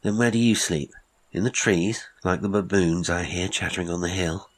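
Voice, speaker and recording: A man's voice: deep voice, normal speed. A man voicing a worn-out, irritable castaway who fires off brusque questions. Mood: impatient. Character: exhausted, irritable shipwrecked Englishman.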